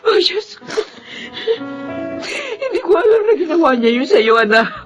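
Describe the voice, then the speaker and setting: A woman playing a patient, gentle housemaid mother in a Filipino melodrama, hesitant and emotive in delivery. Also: very high voice, very animated, normal speed, highs cut off, faint music behind. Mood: tearful, pleading. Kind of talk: Filipino radio-style melodrama acting.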